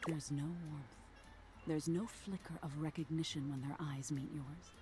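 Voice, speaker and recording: A woman voicing an eerie nightmare spirit in a video game, telling a soft, ominous tale in a hushed, whispery voice. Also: sits low, steady tone, loud music behind the voice. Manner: slow, soothing, ominous storytelling. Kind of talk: game character narration.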